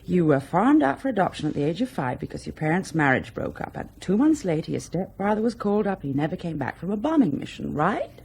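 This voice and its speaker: An actress playing a brisk, caring woman journalist in a naturalistic radio drama. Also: fairly low pitch, very animated, gravelly, a little mellow, husky, full character voice. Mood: fond, reflective.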